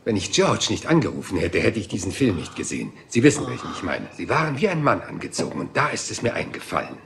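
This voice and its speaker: Rumpled police inspector, patient, musing, low gravelly voice, radio crime play, faint hiss. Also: male voice, big pitch swings, fast, fairly bright tone, exaggerated voice. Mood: calm. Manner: patient, musing, circling back to details. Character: rumpled dogged male police inspector, middle-aged. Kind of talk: German radio crime drama.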